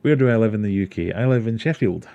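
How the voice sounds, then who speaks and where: Scottish guy hosting a fish livestream, low and warm, rambles a bit and pokes fun at himself. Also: lively intonation, fast talker, creaky, muffled recording. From Glasgow, Scotland. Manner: rambling, self-deprecating, thinks aloud. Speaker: aquarium livestream host. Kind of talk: casual livestream chat reading comments.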